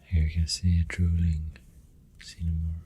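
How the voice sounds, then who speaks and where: A soft-spoken ASMR creator with a Swedish accent, rambling in a breathy, husky near-whisper with hesitant pauses, close to the microphone. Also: rumbling low, monotone. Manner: slow, hesitant, pausing between phrases.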